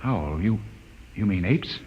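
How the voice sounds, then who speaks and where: An actor playing a brusque, impatient, authoritative spaceship captain in an old radio drama. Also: male voice, on the low side, expressive, takes it slowly. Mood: exasperated. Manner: terse, authoritative. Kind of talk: 1950s radio drama acting. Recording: dull, noisy old radio recording.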